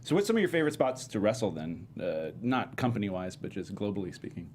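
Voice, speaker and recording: A man's voice: fairly low pitch, sing-song, fast talker, a little mellow, slight background noise. Easygoing male podcast host. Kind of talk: casual interview chat.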